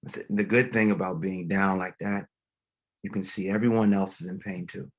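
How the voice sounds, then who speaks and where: An actor playing a gentle, grieving pastor in a dramatic table read, speaking plainly and haltingly. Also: male voice, low voice, steady tone. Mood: earnest, compassionate. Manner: reflective, plain-spoken, halting. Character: grieving, gentle, reflective male pastor, widowed father.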